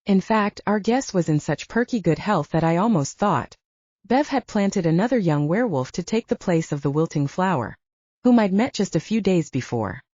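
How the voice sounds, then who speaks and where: Mellow, animated woman narrating fiction. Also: on the low side, moderate pace, dry recording, lo-fi sound. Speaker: audiobook narrator. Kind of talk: scripted first-person fiction narration.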